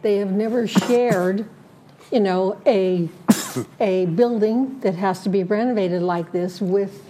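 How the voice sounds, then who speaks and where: A woman serving as a community board director, laying out her plans in an interview, listing points slowly with many fillers and restarts. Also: mid-range voice, mellow, a little hiss or hum. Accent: Southern Californian. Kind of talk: prepared statement in an interview.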